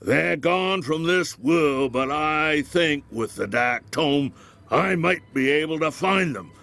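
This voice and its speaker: An actor playing an aging bookshop owner in an audio drama, wry and pleading with a familiar way of talking. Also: male voice, fairly high pitch, animated, takes it slowly, gravelly, fairly bright tone, heavily acted. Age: older.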